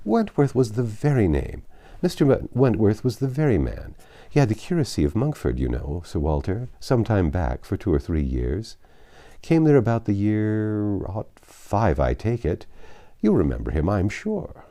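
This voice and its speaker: Man voicing a chatty, flustered gentleman lawyer, swooping pitch. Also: big pitch swings, moderate pace. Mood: grateful, excited. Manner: rambling, eager, self-interrupting. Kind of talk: classic novel character reading.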